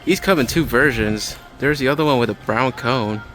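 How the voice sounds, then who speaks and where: A man's voice: medium pitch, moderate pace, a bit bright. Man vlogging casually over store music. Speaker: hobbyist vlogger. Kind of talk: casual walk-through commentary. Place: inside a large home improvement store. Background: background music in a store.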